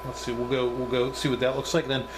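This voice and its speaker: Casual man reviewing gear over clear background noise. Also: medium pitch, flat delivery, fast talker. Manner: hesitant, thinking aloud. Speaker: reviewer demonstrating radio equipment. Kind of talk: casual off-the-cuff hands-on review.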